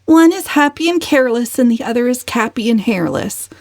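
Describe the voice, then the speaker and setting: A defiant young woman in her late twenties from a storybook, acted by a female reader, unflappable and dryly witty. She speaks with deliberate, mocking composure, pitched a little high, in a very dry recording. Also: no room sound. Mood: cool mockery. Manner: calm, dryly sardonic, deliberate.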